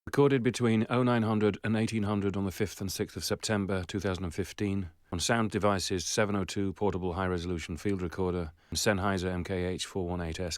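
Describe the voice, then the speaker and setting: A male announcer reading credits in a measured, formal way. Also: deep voice, no room sound, clean studio sound.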